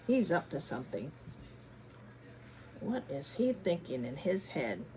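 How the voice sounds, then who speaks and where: A woman reading a picture book aloud to children in a teacherly way, pausing to ask them questions and adding dramatic emphasis. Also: low-pitched, sing-song, dark tone, a little hiss or hum, low-quality recording. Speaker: children's story reader, former teacher. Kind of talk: animated picture-book read-aloud with chatty asides to children.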